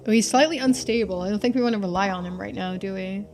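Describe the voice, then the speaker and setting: A woman's voice: mid-range voice, fast, over music. A woman game streamer reading a visual novel aloud, switching into playful, chatty live commentary.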